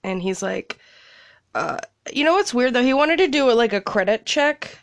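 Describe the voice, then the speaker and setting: A woman's voice: fairly high pitch, animated, moderate pace, smooth, dry recording, studio quality. A young woman with a congested cold, rambling casually as a podcast host from a small closet, laughing and swearing freely. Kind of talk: casual solo chat.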